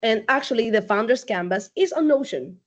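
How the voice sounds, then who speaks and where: A woman, a company CEO, speaking casually as a guest on a video call, laughing often and filling pauses with "um". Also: fairly high pitch, animated. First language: Spanish. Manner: chatty, laughs often, many fillers. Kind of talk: casual online talk.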